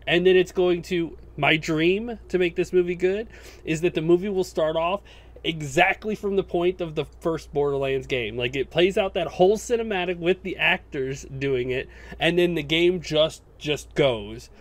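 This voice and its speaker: A man with a fairly high-pitched voice speaks in casual conversation. He sounds like a podcast co-host chatting informally about entertainment with friends. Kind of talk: casual chat about games and films.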